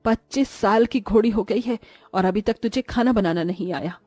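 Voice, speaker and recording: A woman's voice: medium pitch, normal speed, darkish tone, no echo, faint music behind, close to an everyday voice. A woman voicing a scolding middle-aged mother in a cartoon story, harsh and blaming. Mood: scornful.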